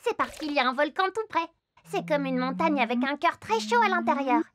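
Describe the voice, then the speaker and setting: A cheerful little female snow monkey from a cartoon, high-pitched and bouncing all over her range. She explains things in a friendly, patient way. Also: lively intonation, brisk, a bit bright, slight background noise. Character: cheerful female Japanese macaque. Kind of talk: animated cartoon dialogue.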